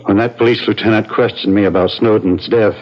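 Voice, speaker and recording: An actor playing a deferential, uneasy family lawyer in a 1970s radio drama, formal and hesitant. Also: male voice, on the low side, steady tone, a little fast, close to an everyday voice. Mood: worried, guilty. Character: anxious, guilt-ridden, deferential family lawyer. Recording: dull old radio broadcast.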